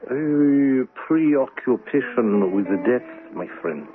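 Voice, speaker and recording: A man playing a wise Chinese gentleman in an old-time radio drama, speaking in a formal, deliberate, philosophical way. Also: medium pitch, lively intonation. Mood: serene, sage. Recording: tinny radio recording with faint music.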